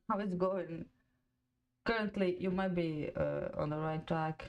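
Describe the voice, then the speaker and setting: Slow-talking, low-voiced woman in her twenties. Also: vocal fry, highs cut off. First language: Croatian. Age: 20s. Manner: chatty, laughs often, reads out viewer comments. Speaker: fan panel host. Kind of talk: casual live watch-along chat.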